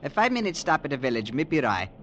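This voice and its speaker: An actor playing a timid, deferential train conductor in a 1950s radio drama, speaking formally and hesitantly. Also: male voice, mid-range voice, big pitch swings, racing, fairly bright tone, lo-fi sound. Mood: uneasy, hesitant. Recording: old radio recording, faint noise.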